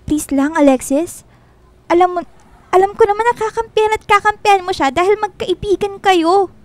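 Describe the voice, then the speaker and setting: Radio drama girlfriend: young, insecure, very high girlish voice, expressive. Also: moderate pace. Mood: hurt, jealous.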